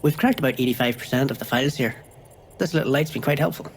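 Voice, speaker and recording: A man's voice: mid-range voice, fast talker, a little hiss or hum, lightly acted. A man playing a guarded, wry outcast in a science fiction audio drama. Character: outcast male sci-fi exile, guarded, wry, pleading.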